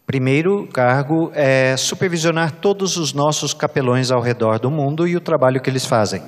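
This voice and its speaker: A woman's voice: very deep voice, normal speed. A woman with a Brazilian accent interpreting a church address live, phrase by phrase. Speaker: consecutive interpreter. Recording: echoey hall with long reverb.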